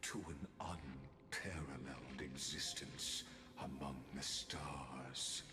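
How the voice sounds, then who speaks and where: An actor voicing a grave, menacing male villain of cosmic power in a video game, speaking in a deliberate, formal, condescending way. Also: a bass voice, fairly even intonation, echoey room. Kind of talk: dramatic video game dialogue. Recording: game audio under loud music.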